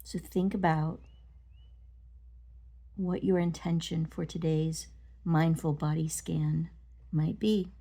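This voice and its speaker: A woman leading a guided meditation, speaking gently and deliberately in short phrases with pauses between them. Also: low-pitched, fairly even intonation, husky. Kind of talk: guided body scan meditation.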